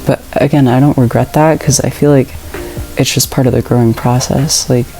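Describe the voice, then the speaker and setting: A young woman with an unusually deep, mellow voice speaks in a loose, reflective way, pausing on "like" and "you know". She talks to camera in a personal vlog while soft music plays faintly underneath. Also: steady tone, rough recording. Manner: casual and reflective, many fillers. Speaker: vlogger. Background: soft background music.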